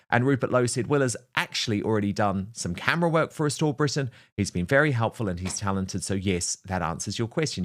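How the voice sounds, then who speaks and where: Male talk show host. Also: lowish voice. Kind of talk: news commentary and panel hosting.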